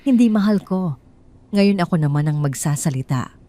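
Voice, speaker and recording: A woman storyteller voices a betrayed Filipino bride in an audio drama. The bride speaks in a measured, firm way, calm on the surface and full of determination. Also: fairly low pitch, natural voice. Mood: cold resolve. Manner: clear, firm, measured delivery.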